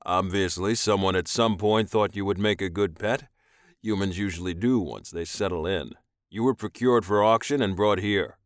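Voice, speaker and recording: A man's voice: sits low, no room sound, studio quality, close to an everyday voice. A man voicing a smug, domineering alien male with a growling, commanding delivery in an audiobook story. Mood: commanding, possessive. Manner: commanding, amused, deliberate.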